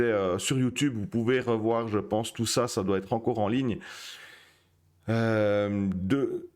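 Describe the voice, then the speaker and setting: A man hosting a casual poker live stream, talking with many fillers and restarts. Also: medium pitch. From Switzerland.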